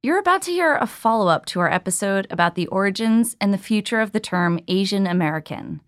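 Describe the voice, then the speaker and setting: A woman's voice: medium pitch, animated, brisk, dry recording, studio quality. A woman hosting a podcast, giving a friendly, conversational scripted intro and asking interview questions. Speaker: podcast host.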